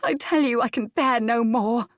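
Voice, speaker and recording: A woman's voice: mid-range voice, normal speed, dry recording, studio quality, voice pushed up, close to an everyday voice. A woman reading an audiobook, voicing a grieving young widowed noblewoman who pleads through tears. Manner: sobbing, tearful pleading. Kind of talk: audiobook character voice.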